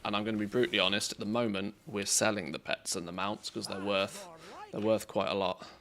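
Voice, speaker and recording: A man's voice: on the low side, level tone, a little fast, a bit bright. Chatty English man streaming a game. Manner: chatty, thanks and greets viewers, reacts aloud to the game. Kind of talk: casual live-stream commentary while gaming.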